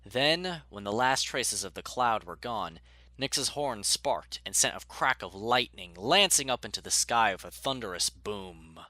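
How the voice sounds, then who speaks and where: Low, forceful male story narrator. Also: expressive, measured pace. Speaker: audiobook narrator. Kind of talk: scripted dramatic story narration.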